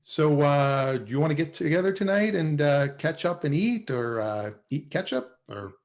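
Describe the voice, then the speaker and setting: A man's voice: on the high side, expressive, moderate pace, lightly acted. A man playing a nervous, lovesick, dryly sarcastic office worker in a sitcom table read, with a hesitant, stammering delivery. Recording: video call table read.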